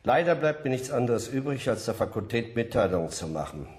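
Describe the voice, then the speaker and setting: A man's voice: medium pitch, big pitch swings, fairly quick, darkish tone, natural voice. A man acting a stern university academic in a radio drama, formal and curt. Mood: stern, cold.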